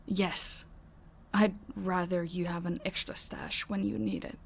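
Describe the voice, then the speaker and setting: Soft, even-toned caring vampire woman, voiced by an actress. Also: on the low side, measured pace, vocal fry, a little hiss or hum. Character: caring, calm female vampire who looks after her housemates. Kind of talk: audio roleplay acting.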